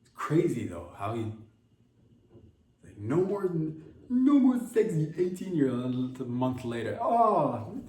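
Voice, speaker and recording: A man's voice: mid-range voice, very animated, measured pace, pauses often, dark tone, slight background noise. A man hosting a comedy podcast, rambling through a loose monologue full of restarts and asides. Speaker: podcast host. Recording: roomy, distant from mic.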